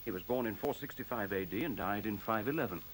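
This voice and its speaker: A man's voice: fairly low pitch, fast, natural voice, poor audio. A man acting in a radio play as an urbane, mischievous intelligent computer, speaking formally and articulately in a teasing way. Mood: composed, assured. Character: witty, urbane male talking intelligent computer. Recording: faint hiss on radio play recording.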